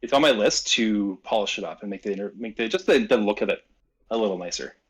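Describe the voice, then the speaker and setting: A man's voice: fairly low pitch, fast talker, husky. A man from the Canadian prairies with a Canadian accent, an indie developer chatting casually on a video call, filling his talk with "like.". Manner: chatty, lots of "like" fillers, earnest. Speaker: indie game developer, guest.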